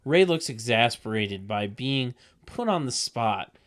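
A man's voice: mid-range voice, expressive, a little slow, fairly bright tone. A man narrating a table read, reading stage directions and adding sarcastic comic asides in a mock-announcing way.

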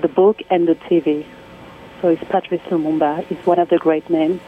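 A woman from Congo with a French accent, speaking as an artist guest on a phone interview, soft-spoken and halting in English, laughing often. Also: deep voice, little pitch movement. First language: Swahili, Lingala and French. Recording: tinny phone line with faint noise.

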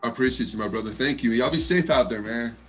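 A man from Texas with a deep voice and an American accent with an East Coast touch, chatting casually in a slangy, easygoing, jokey way. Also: fast, over music. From Texas, United States. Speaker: hip hop artist, interview guest. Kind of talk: casual chat.

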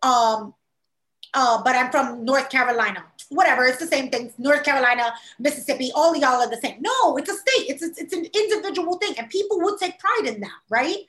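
A woman's voice: high-pitched, fairly quick. A woman from New York City with a Puerto Rican-tinged New York accent, talking casually and acting out quoted voices. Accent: New York Puerto Rican-influenced. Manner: animated, acts out quoted voices. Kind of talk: casual conversation. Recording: echoey room.

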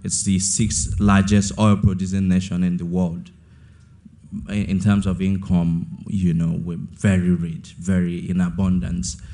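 A man's voice: low-pitched, little pitch movement, a little slow, dark tone. A young man in his twenties from Nigeria, an author answering questions in an onstage interview, thoughtful and often repeating words as he finds his point. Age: 20s.

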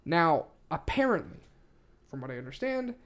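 A man's voice: fairly high pitch, sing-song, normal speed. A man hosting a podcast in casual chat, swearing freely and rambling insistently as he repeats his points. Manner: profane. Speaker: podcast host.

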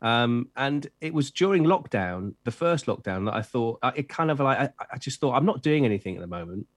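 A man's voice: mid-range voice, animated, brisk, no echo, clean studio sound. A middle-aged man who grew up in Wales, an author chatting enthusiastically in an interview with lots of fillers and restarts. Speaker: children's author and editor. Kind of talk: interview chat.

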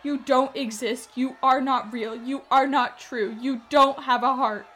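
Actress as an anguished high-voiced captive woman. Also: steady tone, a little slow, a bit bright. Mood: bitter defiance. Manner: impassioned, declaiming. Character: anguished, defiant female captive of a death march. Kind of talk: radio play acting.

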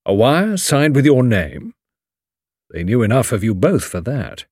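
Man acting out a commanding, dry English lawyer, lively pitch. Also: slightly rough, no room sound. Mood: keen, thoughtful. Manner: measured, precise, formal, dry. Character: shrewd, commanding older English male lawyer, grave and dry. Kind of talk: audiobook character dialogue.

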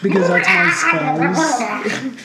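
A woman's voice: fairly high pitch, very animated, drawn-out words, heavy background noise. A woman playing a tabletop game, chatting in a wry, laid-back way. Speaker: player. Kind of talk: casual tabletop game chat. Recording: echoey room with chatter behind.

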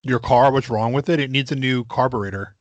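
A man's voice: medium pitch, no room sound, highs cut off. Brief: man, crude podcast co-host, boastful mock-macho drawl, dry close mic. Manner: crude, boastful mock-macho bits, slow emphatic delivery. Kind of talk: casual comedic podcast banter.

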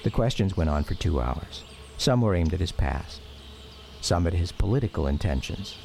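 A man's voice: sits low, animated, moderate pace, vocal fry, a little mellow, slight background noise. A man performing as a reflective grown son, narrating a scripted radio drama about his father. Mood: measured reflective.

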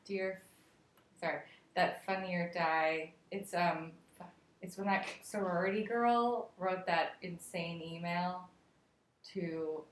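Lower-voiced female co-host, low-key delivery, echoey room. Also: fairly even intonation, slow. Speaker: podcast co-host. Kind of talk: casual chat. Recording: roomy echo.

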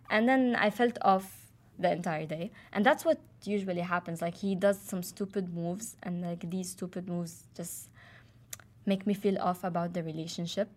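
A young woman from Jordan, casually telling a personal story with many fillers and restarts. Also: lowish voice. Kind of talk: casual chat.

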